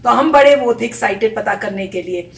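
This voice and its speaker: A chatty woman with a high voice tells anecdotes in a casual vlog and mimics what others said. She sits a little back from the camera mic, and the room around her rings with echo. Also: fairly quick, vocal fry, far from the mic. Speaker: vlogger reacting to a video. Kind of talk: casual storytelling chat.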